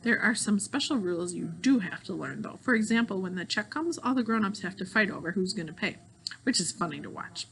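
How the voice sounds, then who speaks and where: A woman reading a children's novel aloud in an expressive storytelling style. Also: medium pitch, a little fast, vocal fry, slight background noise, rough recording. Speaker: audiobook reader of a children's novel.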